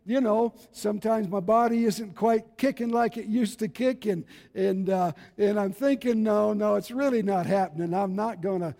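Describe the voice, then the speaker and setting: A man's voice: high voice, fairly even intonation, normal speed, gravelly, few pauses, dark tone. A man of about seventy, preaching a fervent evangelical sermon in an exhorting, emphatic way, repeating phrases for weight. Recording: reverberant hall with long echo.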